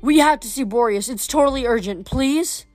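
A woman's voice: sits high, big pitch swings, moderate pace, fairly bright tone, faint music behind, pitched above the performer's own voice. A woman voicing a determined, persuasive teenage girl heroine in a storybook, urgent and coaxing.

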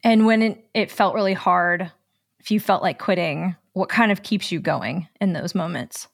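A woman's voice: fairly low pitch, normal speed, vocal fry. A woman hosting a podcast, reading a polished intro and then asking interview questions. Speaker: podcast host.

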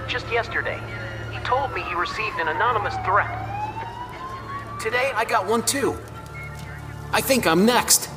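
A man's voice: high voice, a little slow, pauses often, over music. An actor playing an anxious, frightened businessman who fears for his life in an audio drama. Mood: panicked.

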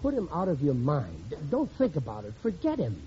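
An actor playing a soft-hearted, flustered working-class American married man in an old radio comedy, coaxing and pleading with stammers. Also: on the high side, huge pitch swings, normal speed. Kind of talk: 1940s-50s radio comedy acting. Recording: dull, noisy old radio recording with audience.

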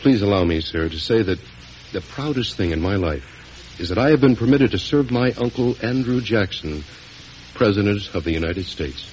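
A man's voice: lowish voice, animated, moderate pace, darkish tone, lightly acted. A man playing a devoted, deferential nephew serving the president, formal and respectful, in a 1940s radio drama. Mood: earnest, respectful. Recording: dull, hissy vintage radio recording.

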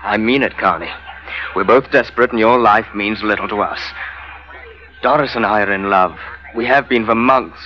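An actor playing a desperate, earnest lover making urgent threats, speaking formally in an old-time radio drama. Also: male voice, lowish voice, moderate pace, natural voice. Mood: tense, desperate. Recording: muffled vintage radio broadcast.

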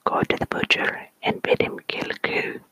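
A storyteller voicing a brisk, no-nonsense farm mother from a folk rhyme, in a whisper with a put-on Scottish accent. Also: pushed and bright, dry recording, close to an everyday voice, rough recording. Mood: brisk, commanding. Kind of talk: whispered folk tale reading.

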